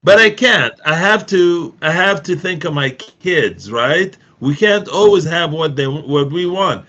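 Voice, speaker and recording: A man's voice: fairly high pitch, lively intonation, unhurried, fairly bright tone, muffled recording. A middle-aged Palestinian man in an earnest discussion, talking emphatically with frequent restarts and repeated phrases. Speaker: activist guest.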